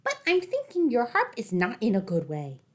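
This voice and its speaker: A woman voicing a tiny, mischievous male leprechaun in a storybook, with a shrill, theatrical delivery. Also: wildly swooping pitch, moderate pace. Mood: cheerful.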